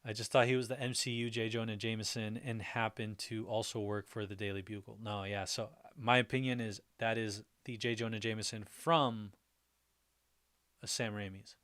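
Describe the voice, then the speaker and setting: A man's voice: fairly low pitch, fairly even intonation, normal speed, fairly bright tone. A man hosting a casual livestream, reading viewer comments aloud, thinking them over and laughing often between remarks. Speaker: livestream host. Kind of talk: casual livestream reaction chat.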